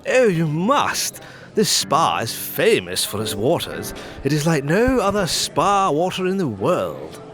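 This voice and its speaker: A man playing a frail, fussy, self-pitying hypochondriac gentleman suitor in a farcical audio drama. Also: fairly high pitch, huge pitch swings, a little slow, soft background music, heavily acted. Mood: eager coaxing. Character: frail, fussy, stooped thin hypochondriac suitor, Sir Charles.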